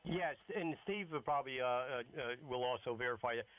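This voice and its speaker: A man speaking haltingly, with many pauses, in casual amateur radio chat over a radio transmission. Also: mid-range voice, fairly bright tone. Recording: noisy radio transmission with hum.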